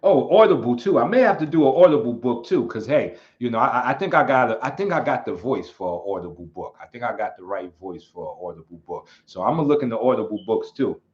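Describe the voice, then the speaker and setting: A man hosting his own livestream in a relaxed, rambling way. He chuckles often and reads chat comments aloud. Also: mid-range voice, quick pace, hardly any pauses. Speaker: channel host. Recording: slightly dull lo-fi sound.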